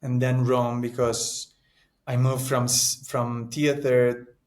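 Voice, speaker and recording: A man's voice: medium pitch, level tone, slow. A man in his thirties from Italy, speaking English with an Italian accent as an interview guest, earnest and reflective, often restarting his sentences. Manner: earnest, searching for words, frequent restarts and "no?" tags. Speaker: guest, actor and painter.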